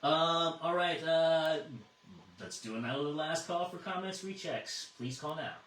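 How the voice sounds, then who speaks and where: An American man hosting an amateur radio net in a casual, halting way, repeating words as he thinks. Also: fairly high pitch, a bit bright. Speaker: ham radio net control operator. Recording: echoey, distant radio transmission.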